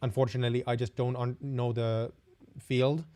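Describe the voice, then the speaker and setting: A man's voice: mid-range voice, flat delivery, normal speed, dark tone. A young Finnish man, speaking English with a Finnish accent, hosts a live stream Q&A in a friendly, hesitant way, often stopping and restarting his sentences. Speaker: host.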